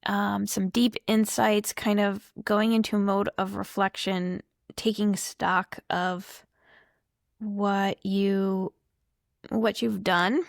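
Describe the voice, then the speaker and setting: A slightly hoarse woman giving a casual tarot reading to camera, chatty and reflective, thinking aloud as she goes. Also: mid-range voice, flat delivery, slow pace, dry recording, studio quality. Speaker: tarot reader.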